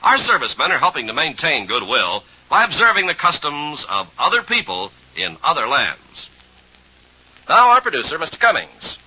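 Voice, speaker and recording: Casting: male 1950s radio host, scripted public address, animated, sharp and husky, on a muffled old record. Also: a little high, moderate pace. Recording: dull old vinyl radio transfer.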